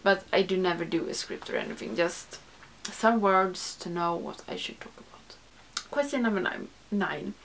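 A woman's voice: on the low side, moderate pace, vocal fry, a bit bright, slight background noise, poor audio. Young woman chatting casually to camera. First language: not English. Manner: rambling, self-correcting, frequent "like". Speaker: beauty YouTuber. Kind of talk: casual chat to camera, answering a tag. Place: small room with makeup shelves, indoors.

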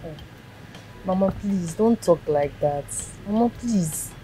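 An actress playing a devoted young Nigerian granddaughter, earnest and respectful. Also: female voice, fairly low pitch, animated, takes it slowly, dark tone, soft background music, poor audio. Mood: tender.

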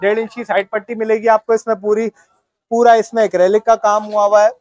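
A high-pitched man with a Karachi Urdu accent, walking a buyer through a furniture set. He talks steadily, naming each feature in turn and answering questions with quick agreement. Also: dry recording. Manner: steady, explains features point by point, keeps agreeing. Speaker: furniture shop salesman. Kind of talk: showroom product walkthrough.

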